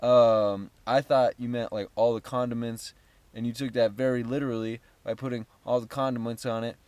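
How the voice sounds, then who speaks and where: A man's voice: medium pitch, level tone, normal speed, a little mellow, smooth, no room sound. A young man vlogging casually about his startup, jokey and rambling as he tells stories. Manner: upbeat, jokey, rambling storyteller. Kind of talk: casual vlog chat.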